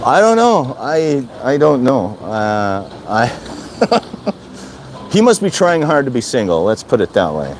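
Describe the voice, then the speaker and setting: A man's voice: mid-range voice, sing-song, unhurried, a little hiss or hum. An older man from Wisconsin chatting casually on a live stream, rambling and repeating himself as he answers viewer comments. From Wisconsin, USA. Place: outdoor city balcony.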